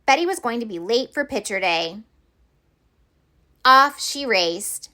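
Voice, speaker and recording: A woman reading a children's picture book aloud as storyteller, with expressive, deliberate phrasing for young listeners. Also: fairly high pitch.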